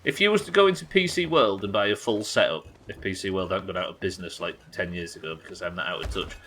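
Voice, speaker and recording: A man's voice: sits low, fast talker, a bit bright, slight background noise. An English man doing casual live game commentary, sweary and jokey, bantering and reading out chat at his desk. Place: indoors at a desk.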